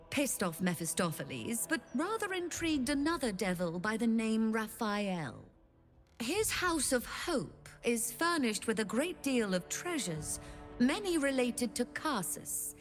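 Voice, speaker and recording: A woman's voice: a little high, full character voice. A woman playing a sly, greedy female devil who trades infernal bargains in a video game, theatrical, teasing and mock-courteous. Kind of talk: video game character acting.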